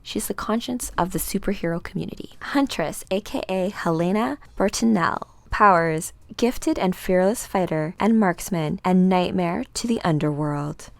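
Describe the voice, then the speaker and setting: A woman narrating a comic book dramatically, in a measured, theatrical way. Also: on the low side, gravelly, dry recording. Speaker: host and reader.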